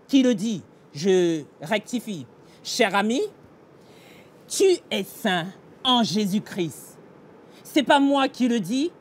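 A man's voice: sits high, slight background noise. A middle-aged man pastor giving an earnest devotional meditation and prayer, speaking straight to the listener in a small indoor room. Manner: earnest, direct address to the listener, emphatic gestures. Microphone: facing a fixed camera, mid-shot.

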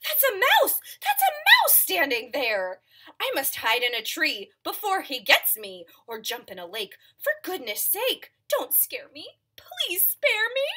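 A woman's voice: fairly high pitch, big pitch swings, unhurried, bright, forceful tone, full character voice. A woman voicing a big, anxious, easily frightened male elephant in a dramatic, emotional storybook style. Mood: terrified.

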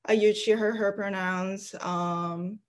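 A young woman from Okinawa talks in English with a Japanese accent, pausing often and filling gaps with soft "um"s. She sounds like she is on a video call from a room at home. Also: medium pitch, fairly even intonation. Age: young adult. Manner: thoughtful, hesitant, many fillers and light laughs. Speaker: guest, graduate student. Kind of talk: casual interview talk. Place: apartment room, indoors.

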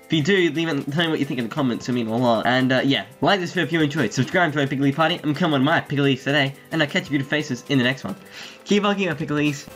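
A young man commenting on a game he plays at home, giggling and rambling excitably. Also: on the high side, rapid-fire, faint music behind. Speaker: gaming YouTuber. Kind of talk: let's play commentary. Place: home room.

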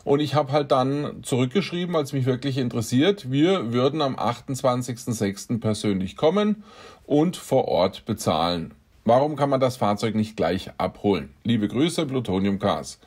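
A middle-aged man with a middle-pitched voice narrates his encounters with scammers in a casual, dry way. He reads out messages and comments on them with a wry edge, as a car dealer talking to his viewers. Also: animated, moderate pace, a little mellow. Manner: dry, wry storytelling about scam messages. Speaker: car dealer and channel host.

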